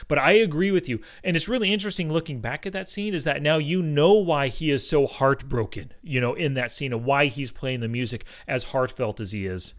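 A man's voice: a little high, fairly quick, few pauses. A man hosting a casual movie podcast, talking in a chatty, slangy, enthusiastic way. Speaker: podcast host.

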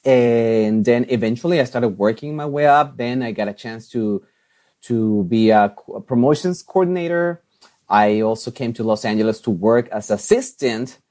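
Upbeat man, chatty and quick to laugh. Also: medium pitch, dark tone. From Mexico. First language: Spanish. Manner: chatty, upbeat, laughs often, many restarts and fillers. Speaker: TV and radio host, guest. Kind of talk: interview answers.

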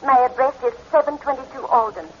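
A woman's voice: high voice, fast talker, slightly rough. An actress playing a sweet, polite old lady shop clerk in genteel 1940s radio style. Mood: polite, anxious. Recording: dull, noisy 1940s radio recording.

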